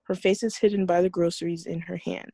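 A woman's voice: fairly low pitch, flat delivery, fairly quick, gravelly, mellow, no room sound. A woman reading screenplay action lines aloud over a video call. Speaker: reader of stage directions.

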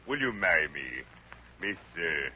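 Slow dopey suitor, lowish sharp voice, hesitant, old radio comedy. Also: male voice, sing-song, heavily acted. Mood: befuddled. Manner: halting, befuddled. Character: dim, hesitant, bashful male suitor. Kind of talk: 1950s radio comedy acting. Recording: muffled, noisy 1950s radio recording.

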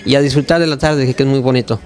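A middle-aged man gives an on-camera interview in a racetrack clubhouse. He speaks at a medium pitch, pausing and restarting often with fillers. A handheld mic is held close to him. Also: fairly even intonation, darkish tone. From Mexico. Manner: hesitant, many fillers and restarts. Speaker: jockey.

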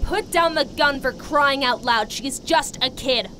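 A woman voicing a calm female squad commander who gives clipped, steady orders. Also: high-pitched, measured pace. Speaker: squad leader. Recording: noisy background.

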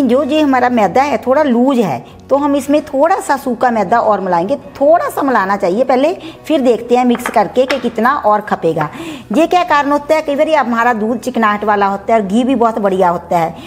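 A middle-aged woman in her home kitchen, chattily explaining each step of a recipe as she cooks. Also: fairly high pitch. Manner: chatty, explanatory, mixes English words. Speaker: cooking channel host. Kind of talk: home cooking demonstration.

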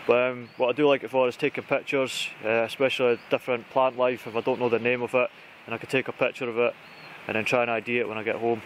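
A man's voice: medium pitch, little pitch movement, quick pace, few pauses. A man in his thirties with a British accent, giving an informal, chatty gear walkthrough to camera as an outdoor vlogger. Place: outdoors in conifer woodland. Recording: outdoor recording with clear background noise.

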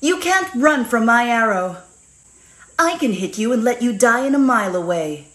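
Woman playing a raised-pitch, taunting game archer. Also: animated, moderate pace, a bit bright, reverberant. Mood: confident, taunting. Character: confident, deadly young female archer. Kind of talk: video game character lines.

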